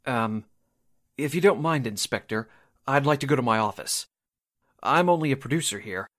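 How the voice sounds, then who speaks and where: A man acting a businesslike, anxious radio producer in a 1940s-style radio drama, polite and brisk. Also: medium pitch, lively intonation, a bit bright, close to an everyday voice. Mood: polite, uneasy.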